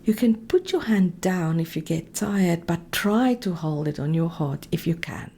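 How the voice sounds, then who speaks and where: A woman speaking as a meditation coach, giving calm, gently directive guided instruction in a soft, breathy voice that often nears a whisper. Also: fairly low pitch, a little slow.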